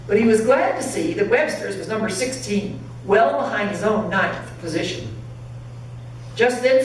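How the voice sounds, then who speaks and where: A woman pastor reading a story aloud expressively and deliberately to listeners in a large church. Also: mid-range voice. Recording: very echoey church, distant mic, faint noise.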